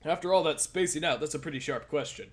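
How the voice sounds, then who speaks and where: A man reading a deadpan young male protagonist in a dry, matter-of-fact way. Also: on the high side, fast, faint music behind, natural voice.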